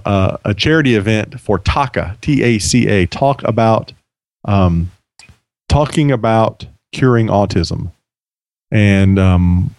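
Man, podcast host, low voice, slightly hoarse, rambling casual chat, dry close sound. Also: darkish tone, no room sound. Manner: chatty, rambling, many restarts.

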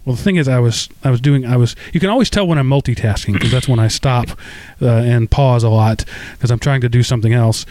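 A man's voice: medium pitch, fast, darkish tone, no echo. A man hosting a casual tech podcast, chatty and self-deprecating, with lots of fillers and restarts. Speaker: podcast host.

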